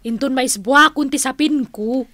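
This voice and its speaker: A woman's voice: high-pitched, a little slow, bright, forceful tone, exaggerated voice. A woman with an Ilocano accent acting in a radio drama as a lovelorn, flirtatious spinster. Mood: flirty, complaining. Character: lovelorn, flirtatious, tobacco-loving spinster pining for a man.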